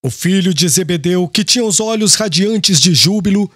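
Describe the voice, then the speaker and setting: A man narrates a literary audiobook with formal expression. His slightly high voice has lively intonation, and the recording is dry and close. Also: moderate pace, husky, dry recording.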